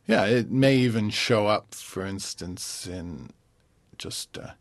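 A man, a concert pianist, answering interview questions thoughtfully and pausing often to find his words. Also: on the low side. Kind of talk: relaxed radio interview.

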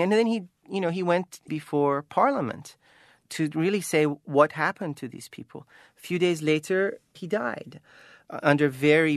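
A man's voice: on the high side, no room sound, studio quality. An Iranian-American man, an author, speaking earnestly and passionately in an interview, often restarting and saying "you know.". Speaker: author and guest. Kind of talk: impassioned interview talk.

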